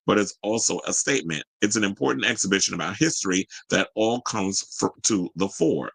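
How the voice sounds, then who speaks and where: A man with a fairly low yet bright, punchy voice hosts an entertainment news show, recorded close and dry. He moves between reading the news and casual, opinionated commentary in slangy, conversational phrasing. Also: dry recording, low-quality recording. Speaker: talk show host.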